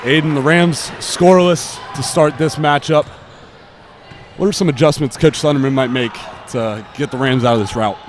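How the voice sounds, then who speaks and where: A man's voice: on the high side, moderate pace, some chatter behind. Young man calling basketball over gym noise. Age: teenager or young adult student. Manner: clipped phrases calling each action. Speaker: student play-by-play announcer. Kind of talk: live basketball play-by-play commentary. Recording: noisy gym, bouncing balls behind. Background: gym ambience and basketball bounces.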